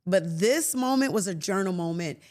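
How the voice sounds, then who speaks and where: A woman in her late fifties hosting a faith podcast, talking earnestly and conversationally with soft laughs and restarts. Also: lowish voice, animated, normal speed. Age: 50s. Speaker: podcast host. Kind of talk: reflective faith podcast monologue.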